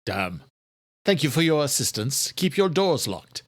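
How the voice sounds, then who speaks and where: A man voicing a calm, protective lawman with a secretive side in an audiobook thriller. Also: medium pitch, a little slow, no echo, clean studio sound, pitched above the performer's own voice. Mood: brisk, polite.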